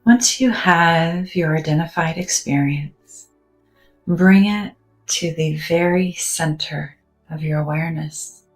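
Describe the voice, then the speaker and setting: A woman with a low, unhurried voice guides a meditation, speaking softly and soothingly with long pauses between phrases. Now and then she sinks almost to a whisper. Faint music plays quietly behind her. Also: poor audio. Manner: soft, gentle and soothing, with drawn-out pauses.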